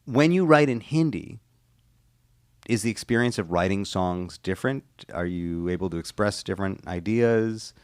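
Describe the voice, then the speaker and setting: Deep-voiced guy hosting an interview, dry close sound, agreeable and thoughtful. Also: lively intonation, dry recording. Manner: thoughtful, agreeable, quick to say yeah. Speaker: interview host. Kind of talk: reflective interview questions.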